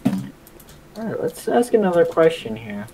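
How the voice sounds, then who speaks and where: A man's voice: fairly high pitch, very animated, background noise. A young man hosting a casual gaming video, asking his friend questions and joking while he plays. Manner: upbeat, jokey, quick asides while playing. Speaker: gaming channel host.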